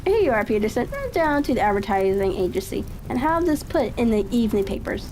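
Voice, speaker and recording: Genial gentleman detective read by a woman, storybook style, assured and courteous, high mellow voice, faint crackle behind. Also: very animated, brisk. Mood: brisk, commanding.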